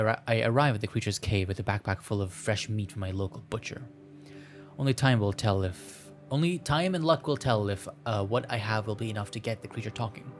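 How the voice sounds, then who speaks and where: A man hosting a gameplay video, reading a visual novel aloud with playful, self-mocking commentary and stumbles over the text. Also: mid-range voice. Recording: music playing behind the voice. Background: music.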